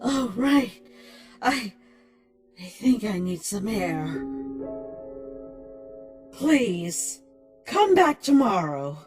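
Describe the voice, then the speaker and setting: A woman voicing a troubled, anxious young monster in an animated comic dub, with a hesitant, halting way of talking. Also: mid-range voice. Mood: shaken, resigned. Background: music.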